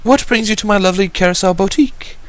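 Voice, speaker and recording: A man voicing an elegant, genteel adult mare with a soft, theatrical delivery in a story reading. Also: high voice, fast talker, pitched above the performer's own voice, natural voice. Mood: amused.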